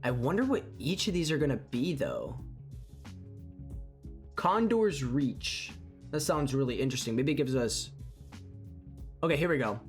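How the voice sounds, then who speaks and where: A man's voice: mid-range voice, big pitch swings, unhurried, long pauses. A young man with a congested, sniffly voice, giving enthusiastic, rambling commentary on a game trailer. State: congested with a cold. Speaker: gaming YouTuber. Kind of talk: excited gameplay commentary. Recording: faint music behind.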